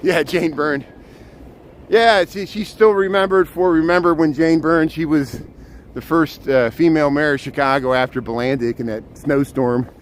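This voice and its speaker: A man with a loud voice live-streaming a walking tour outdoors, chatting casually and pointing out trains and buildings as he goes. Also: a little high, steady tone, moderate pace. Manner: chatty, pointing out sights as he walks. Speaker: urban planner. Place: outdoors on city streets. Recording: noisy city street with traffic.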